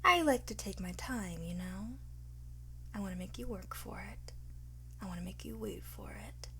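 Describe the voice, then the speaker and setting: A woman playing a cocky, flirtatious art thief in an ASMR roleplay, teasing and smug, often slipping into breathy whispers. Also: medium pitch, animated, moderate pace, bright, forceful tone, slight background noise. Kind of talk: ASMR roleplay, one-sided acted dialogue.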